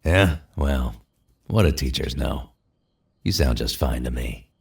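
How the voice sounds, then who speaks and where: A man playing a gruff superhero loner, menacing yet flirtatious, with a rough gravelly voice in an audio drama. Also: rumbling low, animated, measured pace, full character voice. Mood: flirty.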